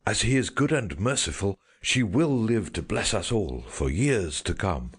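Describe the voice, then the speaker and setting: A steady, earnest male doctor voiced by a woman. His voice sits very low and moves in wide swoops, with a breathy edge. He speaks slowly, as if to calm someone down. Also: full character voice. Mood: passionate. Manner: deep, slow and earnest. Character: calm middle-aged male doctor, soothing.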